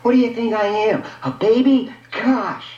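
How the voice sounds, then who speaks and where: A sulky young boy, played by a man in a radio story, speaks in a raised, high voice. He protests and whines in boyish slang, reluctant about everything, heard through dull, lo-fi sound. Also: animated, a little slow, a little mellow. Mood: morose, indignant. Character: reluctant whining young boy in futuristic household. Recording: dull lo-fi audio, echoey room.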